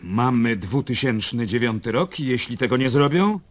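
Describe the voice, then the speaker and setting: An actor playing an outspoken, talkative German officer in a Polish radio drama, with a declamatory yet conversational delivery. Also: male voice, mid-range voice, animated, normal speed, close to an everyday voice. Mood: assertive, matter-of-fact.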